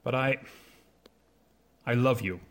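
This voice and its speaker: A proud gentleman suitor in a period radio play acted by a man. His voice is mid-pitched, and he speaks with formal, measured earnestness. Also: close to an everyday voice. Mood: hesitant, earnest. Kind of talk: radio drama acting.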